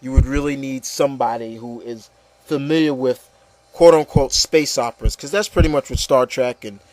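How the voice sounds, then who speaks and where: A man's voice: mid-range voice, normal speed, a little mellow, no echo, low-quality recording. A man hosting a casual, opinionated discussion, speaking emphatically and repeating phrases to drive points home. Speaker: podcast host.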